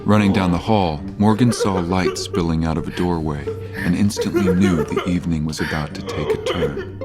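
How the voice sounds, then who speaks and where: Very deep-voiced male drama narrator. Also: normal speed, over loud music. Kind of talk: scripted audio drama narration.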